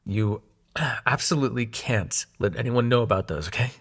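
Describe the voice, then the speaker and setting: A man's voice: medium pitch, moderate pace, vocal fry, a bit bright. A man acting in an intimate audio roleplay as a young god of dreams, sarcastic and wounded but fond, talking in casual, sweary modern slang. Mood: flustered, bantering.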